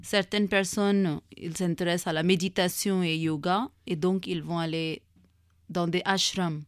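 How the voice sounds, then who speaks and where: A woman's voice: on the low side, fairly even intonation, unhurried, no echo, clean studio sound. A woman, a Hindi speaker, speaking as a guest in a casual radio interview, hesitant with many fillers and restarts. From India. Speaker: guest, association founder.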